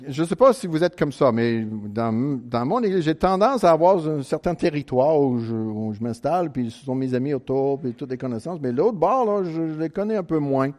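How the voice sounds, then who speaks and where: Earnest American man preaching in French. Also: a little high. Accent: American English. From United States, Chicago suburbs. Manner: earnest, halting with many restarts, prayerful. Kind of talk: sermon.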